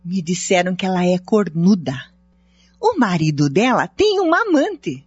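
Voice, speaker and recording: Uma atriz brasileira de radionovela interpretando uma mulher invejosa, fofoqueira e de língua afiada, com fala cheia de desdém. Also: female voice, lowish voice, very animated, measured pace, a little mellow, husky, dry recording, soft background music, heavily acted. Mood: gossipy. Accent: Brazilian. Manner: scornful, gossipy delivery. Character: envious, gossiping niece, sharp-tongued and spiteful. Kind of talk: radio soap acting. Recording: dull, lo-fi dry radio drama recording.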